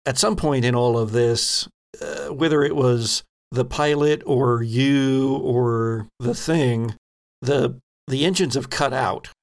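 A man improvising narration as a tabletop game master, halting as he searches for words. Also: mid-range voice.

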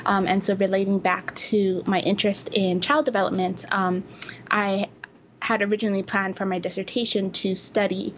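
A young woman from Hawaii, a communication researcher, explaining her work in an interview with many pauses and ums. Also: mid-range voice, level tone, muffled recording, slight background noise. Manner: hesitant, many ums, thoughtful. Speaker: guest researcher. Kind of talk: academic interview.

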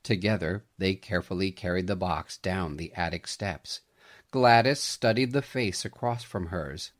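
A man reading a story aloud as scripted narration, with an expressive, dramatic storytelling delivery. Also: sits low, a little slow.

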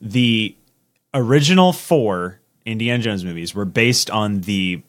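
A man's voice: lowish voice, animated, unhurried. Man chatting casually about film. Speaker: podcast co-host. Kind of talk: casual movie discussion.

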